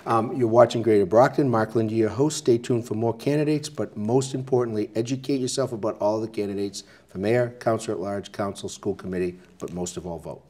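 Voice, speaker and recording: Low, mellow-voiced male interview host. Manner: brisk, businesslike questioning. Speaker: TV show host. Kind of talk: local cable interview hosting. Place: small TV studio. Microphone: lapel mic.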